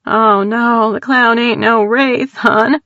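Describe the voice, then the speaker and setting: A woman voicing a frightened, tearful young woman with an East Texas accent, talking in a high-strung, rapid way. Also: steady tone, sharp tone, no echo, full character voice. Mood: frantic. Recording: dull dry audio.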